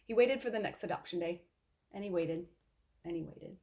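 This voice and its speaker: A woman's voice: on the low side, moderate pace, warm tone. Woman reading a children's story aloud. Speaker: storybook reader. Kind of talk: expressive children's read-aloud.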